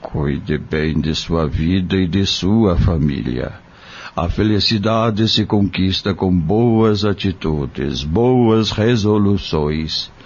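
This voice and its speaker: Man acting a slow, deep-voiced, kindly country doctor. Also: highs cut off, exaggerated voice. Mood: fatherly, serene. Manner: solemn, measured, gently preaching. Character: kind, grave male country doctor, devout and fatherly. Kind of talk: audio drama acting.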